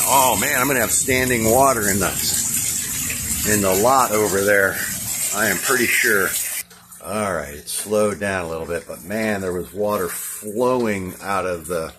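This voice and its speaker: A man's voice: deep voice, noisy background. An American man vlogging casually off the cuff, with a folksy, exclamatory way of remarking on things. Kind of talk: casual off-the-cuff narration to camera. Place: inside a moving car in heavy rain, then around a house. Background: music on car radio, rain.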